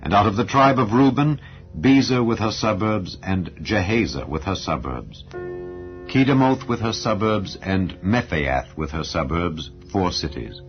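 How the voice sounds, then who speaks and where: Low-voiced man solemnly reading scripture over music. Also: lively intonation, a little slow. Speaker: audiobook narrator. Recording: dull lo-fi audio over clear music. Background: background music.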